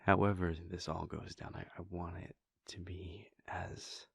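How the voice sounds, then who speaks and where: A man voicing a devoted adult vampire prince in an audio roleplay, speaking in a hushed, halting, intimate way close to the microphone. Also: low-pitched, flat delivery, gravelly, slightly rough, no room sound, exaggerated voice. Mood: reflective. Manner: hushed, halting and intimate, with soft sighs and pauses. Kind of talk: audio roleplay aimed at the listener.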